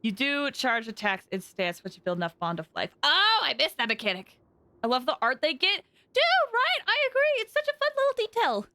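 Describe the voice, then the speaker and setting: A woman game streamer giving casual live commentary in an excitable, expressive, giggly way. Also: sits high, brisk, no room sound.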